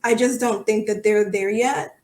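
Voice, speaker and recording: A woman's voice: medium pitch, steady tone, unhurried, a little mellow. A woman in her thirties giving candid, emphatic, opinionated answers in a conversational interview. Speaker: podcast guest, soccer supporter. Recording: echoey room.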